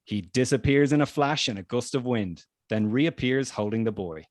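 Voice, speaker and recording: Man, script narrator, mid pitch, dry sound, reading stage directions, table read. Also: moderate pace, dry recording.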